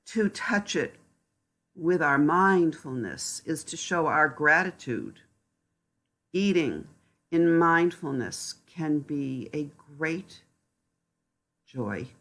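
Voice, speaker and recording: A middle-aged woman reading gently and deliberately about mindful eating, in a calm meditative manner. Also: low-pitched.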